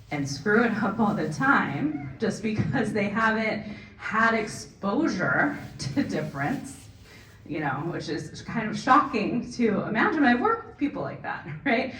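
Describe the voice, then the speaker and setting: A woman with a Californian accent answering at a panel forum, earnest, tagging points with "right?" and quick asides. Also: on the low side, a bit bright, a little hiss or hum. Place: forum event hall. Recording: echoey hall, mic at a distance.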